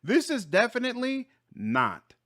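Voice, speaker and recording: A man's voice: very high-pitched, wildly swooping pitch, measured pace, pushed and bright, pitched above the performer's own voice, full character voice. A man voicing an exasperated female warrior companion in a fantasy game, dry and grudging.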